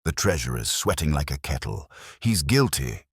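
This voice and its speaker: A man's voice: extremely low pitch, very animated, moderate pace, slightly rough, no room sound, studio quality, exaggerated voice. A man voicing accusing small-town hecklers in an audiobook mystery, blunt and jeering.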